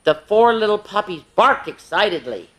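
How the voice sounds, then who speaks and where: Expressive woman reading a children's story. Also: medium pitch, moderate pace. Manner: expressive, with asides to young listeners. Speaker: children's story time host. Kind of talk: animated read-aloud storytelling for young children.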